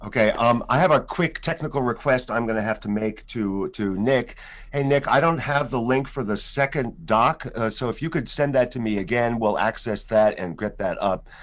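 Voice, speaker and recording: A man hosting a live online music show for schoolchildren, chatty and friendly, with many fillers, over a video call. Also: fairly low pitch. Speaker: host.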